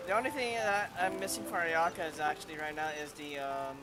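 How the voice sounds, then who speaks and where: A woman's voice: deep voice, steady tone, fairly quick. A woman giving casual gameplay commentary as a game streamer. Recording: game music loud behind voice. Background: video game music.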